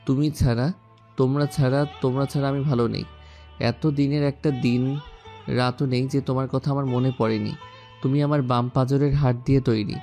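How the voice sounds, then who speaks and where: A man playing an intense, lovelorn husband and father, a powerful mayor, with slow, earnest romantic drama phrasing. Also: mid-range voice, steady tone, mellow, close to an everyday voice. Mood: pleading, heartbroken. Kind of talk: romantic audio drama acting. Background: background music.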